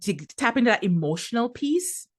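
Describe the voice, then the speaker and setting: A woman's voice: on the high side, sing-song, normal speed, breathy edge. A woman from Trinidad and Tobago, a coach, talking earnestly as a podcast guest, laughing often and repeating words as she thinks. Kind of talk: casual interview conversation.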